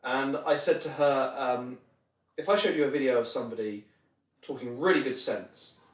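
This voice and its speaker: A man speaks as an interview guest in a roomy space, sitting a little away from the microphone. His delivery is conversational and explanatory, built around examples, in a medium-pitched voice. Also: moderate pace. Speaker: podcast guest, marketing trainer. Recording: roomy echo, distant microphone.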